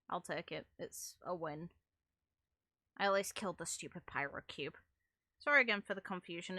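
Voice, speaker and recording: Bright, forceful woman game streamer. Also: medium pitch, no echo. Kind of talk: casual livestream chat and lore reading. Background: faint game music.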